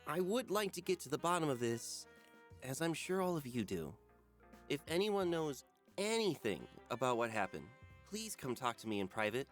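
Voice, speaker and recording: A man playing an earnest, ponderous schoolteacher who speaks with measured, dramatic pauses in a visual novel game. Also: a little high, big pitch swings, soft background music. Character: earnest, ponderous male schoolteacher addressing his class.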